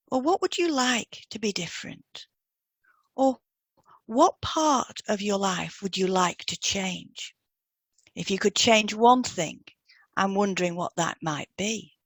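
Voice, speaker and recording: A woman reads scripted podcast advice in a gentle, measured manner. Her voice sits at a medium pitch. The sound is very dry, with little room around it. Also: no echo. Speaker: podcast co-host and hypnotherapist. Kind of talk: scripted podcast advice with example client questions read out.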